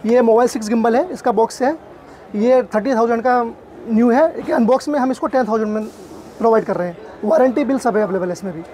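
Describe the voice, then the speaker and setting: A man in his thirties pitching camera gear as a salesman, listing products and prices in a quick, repetitive way. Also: dark tone. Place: busy indoor exhibition hall.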